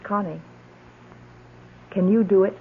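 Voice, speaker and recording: A woman's voice: medium pitch, steady tone, takes it slowly, gravelly, breathy edge, heavily acted. An actress in an old radio drama playing Alice, a betrayed but forgiving middle-aged woman, tipsy, earnest and chatty. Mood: earnest, resolute. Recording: muffled, phone-like old radio recording.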